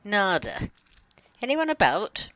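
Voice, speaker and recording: A woman's voice: mid-range voice, big pitch swings, slow, a bit bright, no room sound. A woman reading her side of a channelled dialogue in an informal, wry, conversational way. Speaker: channeller putting questions to spirit beings.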